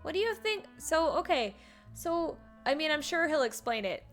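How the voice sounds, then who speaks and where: A woman's voice: high-pitched, sing-song, moderate pace. A woman game streamer casually reacting as she plays. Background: game music.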